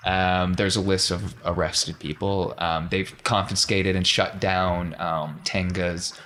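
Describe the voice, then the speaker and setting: Male game master, lowish voice, describing scenes off the cuff in an informal tabletop game. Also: flat delivery, slow pace, pushed and bright, slight background noise. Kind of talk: improvised tabletop game narration.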